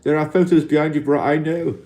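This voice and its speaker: A Welsh man hosting a casual livestream in an alien costume, talking gently and reflectively and reading out viewer comments, with lots of "you know" fillers. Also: a little high, steady tone, brisk. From Wales.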